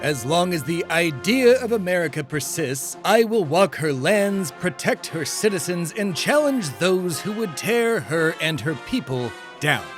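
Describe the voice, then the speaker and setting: A man's voice: high voice, very animated, a little slow, few pauses, soft background music. A young man hosting a scripted comedy podcast, speaking in a pompous, grandiose and theatrical manner. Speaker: podcast host.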